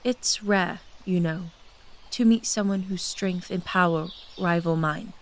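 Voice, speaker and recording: A woman's voice: lowish voice, unhurried, a little hiss or hum, lightly acted. A woman voicing an ancient, seductive and domineering blood-drinking noblewoman in a fantasy audio drama. She speaks in a silky, confident, commanding way. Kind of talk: full cast audio drama acting.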